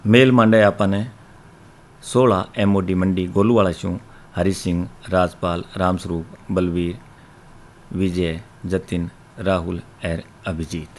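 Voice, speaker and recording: Deep-voiced Rajasthani radio host. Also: male voice, fairly even intonation, takes it slowly, slight background noise. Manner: reels off long lists of names and places. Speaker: radio request-show host. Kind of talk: reading out listener letters.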